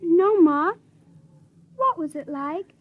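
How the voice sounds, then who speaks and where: A woman's voice: very high-pitched, expressive, slow, heavily acted. An actress playing a vain, sulky teenage girl with a pert, petulant voice, in a 1950s radio drama. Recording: tinny 1950s radio broadcast.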